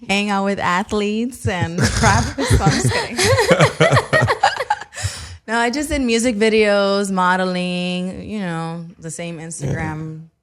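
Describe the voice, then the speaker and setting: A woman's voice: medium pitch, sing-song, slow, long pauses. A young woman from Cuba, raised in Miami, a guest in a casual interview who talks bluntly and playfully.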